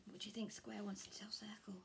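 A woman's voice: mid-range voice, little pitch movement, fairly quick, creaky, slight background noise. A young woman, a primary school teacher, reading a picture book aloud to young children indoors. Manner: explains words and asks questions. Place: small furnished room at home, indoors.

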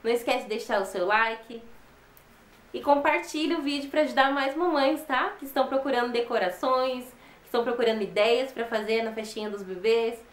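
Uma mulher brasileira na faixa dos vinte anos, com sotaque brasileiro, apresentando de forma falante e simpática um canal de artesanato, filmada de perto numa sala pequena. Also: female voice, a little high, normal speed. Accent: Brazilian Portuguese. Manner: chatty, friendly, often ends with "tá?". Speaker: DIY channel host. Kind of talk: upbeat on-camera intro and outro. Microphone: fixed camera at close range. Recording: echoey small room.

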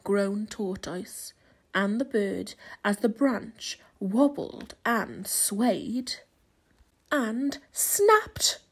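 A woman reading a children's storybook aloud in measured, dramatic, expressive narration. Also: mid-range voice, husky. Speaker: storybook reader.

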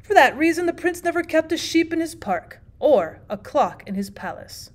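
A woman's voice: high voice, sing-song, normal speed, voice pushed up. A woman reading the part of an unflappable bachelor storyteller, matter-of-fact and prompt in a storybook. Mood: prompt, explaining.

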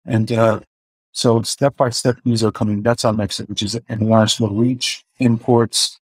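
A man's voice: fairly low pitch, level tone, normal speed, breathy edge, no echo. A man in his forties with a mixed Iranian and Swedish accent, speaking hesitantly with many fillers on a video call interview. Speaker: car filmmaker guest. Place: home room indoors. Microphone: video call webcam.

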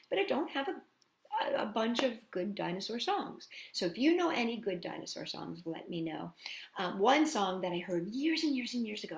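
A woman's voice: medium pitch, reverberant. A middle-aged woman hosting a children's storytime, chatting to young listeners conversationally and putting questions to them. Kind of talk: storytime chat to young children, with read-aloud and singalong.

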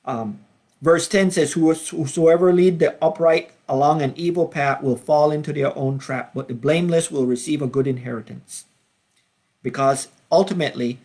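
A man in his fifties from Belize leading an informal Bible study over a webcam at home, speaking earnestly and unhurriedly with frequent ums, slowing down to read verses. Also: fairly high pitch. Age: 50s. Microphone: webcam, video call framing.

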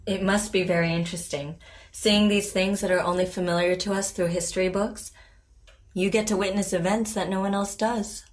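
A woman acting as a female therapist in a naturalistic audio drama. She is composed and reassuring, choosing formal words and probing gently. Her voice is mid-pitched. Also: fairly even intonation, natural voice. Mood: calm, probing. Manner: measured, formal, gently questioning.

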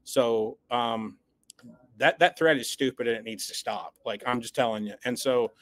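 A man's voice: mid-range voice, normal speed, dry recording. A man in the middle of his range co-hosts a sports podcast, dissecting a loss with blunt, emphatic opinions. He often repeats words as he gets going. The sound is close and dry, with no room around it. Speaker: podcast co-host.

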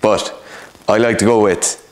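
An Irish man in his thirties casually explaining a recipe in a home kitchen, relaxed and chatty, recorded by a camera a few feet away. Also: fairly low pitch, unhurried, slight background noise. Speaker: cook demonstrating a recipe. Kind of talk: casual cooking demo chat.

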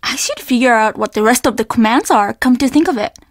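A young woman who runs a Japanese inn in a video game. Her voice sits high and is recorded very dry. She is earnest and formally polite, apologetic, and carries her troubles with her. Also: animated, fairly quick, no echo. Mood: friendly, chatty. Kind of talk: video game character dialogue.

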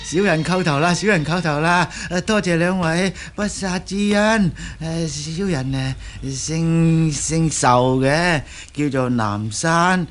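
A man acting a cowardly, grovelling male underling in a Hong Kong Cantonese radio drama, stammering, wailing and fawning. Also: fairly high pitch. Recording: faint noise on old radio recording.

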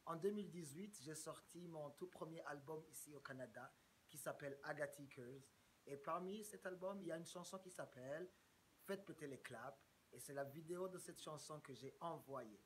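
A man's voice: on the high side, normal speed, a little hiss or hum, poor audio. A young man from Burundi, a rapper giving a friendly, upbeat greeting to a close-up camera in a small room. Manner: upbeat, smiling, friendly address.

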